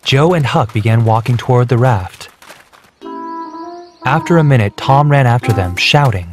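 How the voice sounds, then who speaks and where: A man's voice: medium pitch, lively intonation, moderate pace. A man reading scripted narration for a children's storybook, with music behind him. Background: background music.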